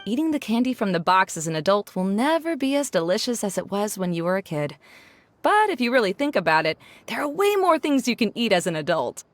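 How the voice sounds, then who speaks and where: Woman voicing a lively, matter-of-fact woman. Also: medium pitch, brisk, dry recording, lightly acted. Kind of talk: game cutscene dialogue.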